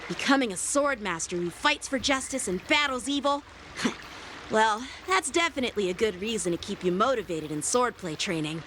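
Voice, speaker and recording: A woman playing a polite, earnest teenage boy swordmaster in video game voice acting, speaking in a formal, measured way. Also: mid-range voice, animated, fairly bright tone, slight background noise, close to an everyday voice. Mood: amused, approving. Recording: game music behind the voice. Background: game background music.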